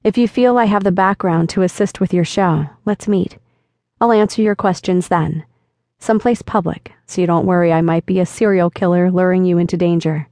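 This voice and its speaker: A woman narrator voicing a flirtatious, self-assured man in an audiobook, with smooth, teasing delivery. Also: lowish voice, fairly even intonation, normal speed, mellow, no echo, studio quality, natural voice. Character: flirtatious confident man chatting online. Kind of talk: audiobook dialogue reading.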